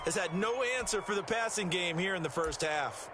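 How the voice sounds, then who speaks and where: A man performs an American color commentator in a football video game. He explains things in a folksy, analytical way with a slightly high voice. The capture is swamped with noise. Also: normal speed, lightly acted. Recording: very noisy game stream capture.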